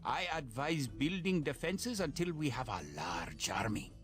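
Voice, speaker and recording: An actor playing a grave, confident military advisor in a video game, with theatrical delivery. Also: male voice, mid-range voice, very animated, measured pace, a bit bright. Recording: music behind game voice clips. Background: music.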